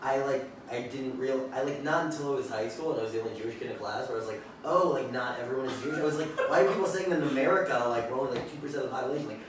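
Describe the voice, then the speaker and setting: A man's voice: medium pitch, racing, few pauses. A male guest talking loosely and at length, leaning on "like" and "um" as he goes. His voice comes from a distance in a very echoey room. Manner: rambling, filler-heavy, keeps saying "like". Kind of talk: casual interview chat. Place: large echoey space by a pool. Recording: echoey and distant with faint noise.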